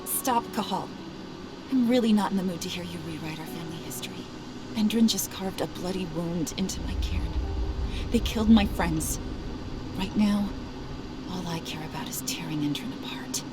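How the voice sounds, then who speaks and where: A woman's voice: on the low side, normal speed, close to an everyday voice. A young woman playing a wounded, defiant activist and estranged daughter in a video game cutscene, firm and intense. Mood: bitter, hurt. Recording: loud ambient noise behind voice.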